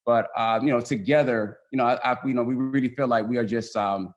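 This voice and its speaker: Man, medium pitch, casual guest presenter explaining a story haltingly. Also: fairly even intonation, lo-fi sound. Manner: hesitant, repeats phrases. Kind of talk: casual explaining of a picture book.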